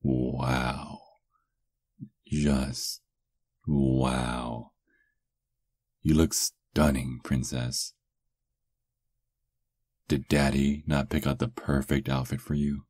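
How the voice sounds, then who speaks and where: A man playing a doting, possessive vampire lover in an intimate ASMR roleplay, murmuring softly and coaxingly. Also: a bass voice, flat delivery, very slow, long pauses, no echo, studio quality, heavily acted. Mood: admiring.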